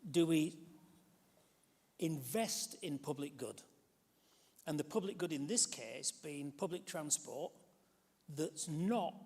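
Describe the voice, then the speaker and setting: A man's voice: fairly high pitch, husky, long reverb tail. A man from Leeds, moderating a symposium panel in a chatty way and often repeating words. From Leeds, England.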